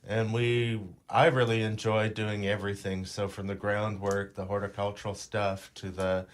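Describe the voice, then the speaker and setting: Low-voiced man, thoughtful. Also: level tone, normal speed. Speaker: landscaper guest. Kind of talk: casual interview talk.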